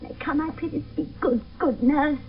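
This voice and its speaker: A woman's voice: high-pitched, moderate pace. A woman playing an eager, lovestruck teenage girl in a Shakespeare radio play, urgent and lyrical. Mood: pleading, impatient. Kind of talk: 1970s radio Shakespeare acting. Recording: muffled phone-like vintage radio broadcast, distant.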